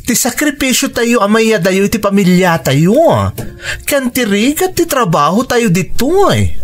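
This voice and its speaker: A woman's voice: on the high side, very animated, measured pace, soft background music. A woman playing an encouraging, seasoned migrant worker friend in a radio drama, advising emphatically with an Ilocano accent. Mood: consoling.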